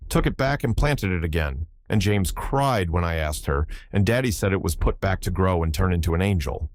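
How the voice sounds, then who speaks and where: A man's voice: low voice, lively intonation, moderate pace, dry recording. A man voicing an earnest, chatty small boy in an audiobook story, telling things in run-on childish sentences. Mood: earnest explaining.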